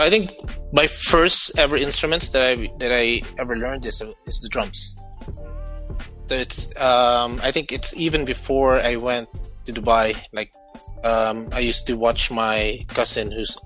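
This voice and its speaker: A young man from the Philippines, a musician guest, chatting casually and filling every pause with "like" and "you know". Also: mid-range voice, pushed and bright. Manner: rambling, heavy on "like" and "you know". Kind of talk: casual chat.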